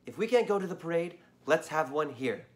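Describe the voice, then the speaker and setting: A man voicing an eager young girl who pleads and leads her friends in play, a little hoarse. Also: on the high side, brisk. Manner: excited, pleading.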